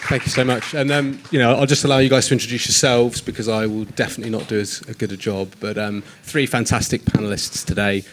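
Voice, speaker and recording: A man moderating a live panel, brisk and hospitable, sometimes tripping over repeated words as he sets up questions. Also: medium pitch, steady tone, background noise. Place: event room with an audience.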